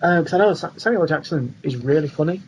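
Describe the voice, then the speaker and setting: A man's voice: fairly high pitch, animated, fast. A young man co-hosting a podcast, chatting casually about films in an easygoing, agreeable way. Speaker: podcast co-host. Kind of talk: casual film discussion. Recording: dull lo-fi voice track, faint noise.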